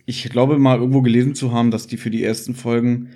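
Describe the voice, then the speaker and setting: A man's voice: mid-range voice, level tone, fast talker, dark tone. A man hosting a casual chat about audio dramas, explaining things with some teasing banter. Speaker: podcast host.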